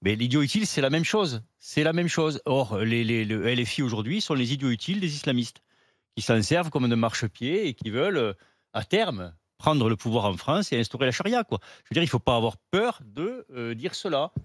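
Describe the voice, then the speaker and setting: Man, radio panelist, blunt, colloquial, mid-pitched voice, dry studio sound. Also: lively intonation, brisk, no echo. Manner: blunt, colloquial, ends phrases with quoi. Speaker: talk show panelist. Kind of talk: radio talk show debate. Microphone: studio microphone.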